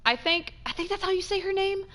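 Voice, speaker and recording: A woman giving casual, chatty gameplay commentary as a streamer, expressive and trailing off into asides. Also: very high voice, a little fast. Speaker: game streamer.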